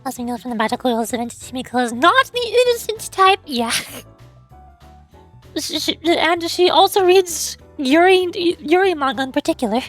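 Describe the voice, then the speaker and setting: High-pitched streamer girl, voice going hoarse, rambly and self-pitying. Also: lively intonation, normal speed, faint music behind. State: losing her voice, hoarse. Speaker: VTuber streamer. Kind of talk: casual game stream chat.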